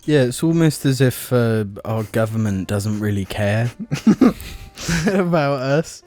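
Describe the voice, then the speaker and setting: A man's voice: mid-range voice, animated, slow, a little mellow, faint music behind. A young man co-hosting a podcast, reading thanks to supporters and dropping dry, sarcastic asides. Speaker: podcast co-host. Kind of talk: casual podcast chat.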